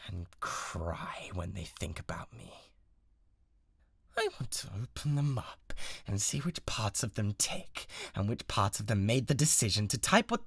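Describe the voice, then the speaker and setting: A man's voice: lowish voice, vocal fry, dry recording, studio quality, exaggerated voice. Man playing a volatile, obsessive British streamer boy. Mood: menacing, cold. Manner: intimate, pleading, swinging between hushed and insistent. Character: obsessive, bratty young male yandere livestreamer, needy and menacing. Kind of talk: dramatised one-man roleplay addressed to the listener.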